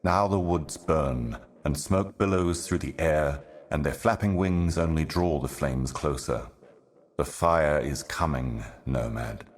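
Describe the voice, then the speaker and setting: An actor playing an ominous, ancient otherworldly herald in a video game, speaking in solemn, cryptic, deliberate monologue. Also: male voice, a bass voice. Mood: bleak, mournful. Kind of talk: scripted video game voice acting, slow monologue.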